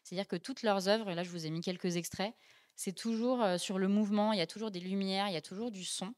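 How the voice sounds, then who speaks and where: A young woman casually explaining design exhibitions on a livestream, with many filler pauses and self-corrections. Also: medium pitch, steady tone, a little mellow. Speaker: guest presenting design exhibitions.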